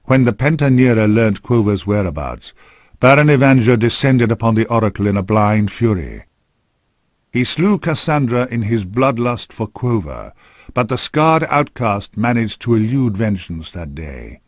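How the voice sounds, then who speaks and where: A man with a fairly low voice delivers scripted fantasy narration. His storytelling is grave and measured, as for a dark video game saga. The sound is dry and close, free of room echo. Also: big pitch swings.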